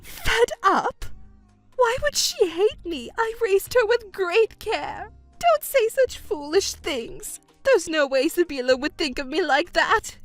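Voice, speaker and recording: Woman playing a shrill, self-pitying middle-aged mother. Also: normal speed, few pauses, fairly bright tone, dry recording, faint music behind. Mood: wailing despair. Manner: whiny, indignant, melodramatic. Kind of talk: scripted family drama narration.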